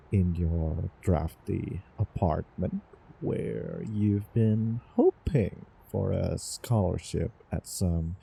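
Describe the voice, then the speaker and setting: A man playing a doting, obsessive young time traveler in love, in a theatrical romantic audio roleplay with halting, repeated words. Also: low voice, creaky, warm tone, a little hiss or hum, heavily acted. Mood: nostalgic, musing. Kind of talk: over-the-top romantic roleplay audio drama.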